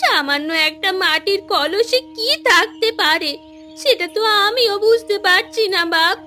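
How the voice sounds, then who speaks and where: Woman doing a worried village mom in a fairy tale cartoon, super high piercing voice, music behind. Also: measured pace, sharp tone, exaggerated voice. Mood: puzzled. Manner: fretful, emotional. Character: anxious village mother, fretting over her son.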